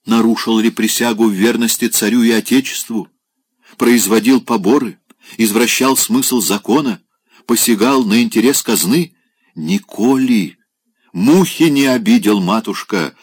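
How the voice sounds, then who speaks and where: A man's voice: on the low side, measured pace, no echo, studio quality, pitched above the performer's own voice. A man voicing a drunken, pompous old clerk who wails in churchy old-fashioned phrases. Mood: declaiming. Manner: churchy archaic phrasing, wailing.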